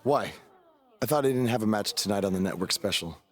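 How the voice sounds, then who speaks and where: A man's voice: on the low side, animated, brisk. A man playing an eager, modest rookie wrestler in a video game cutscene, with a casual manner. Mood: surprised, eager. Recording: faint ambient background noise.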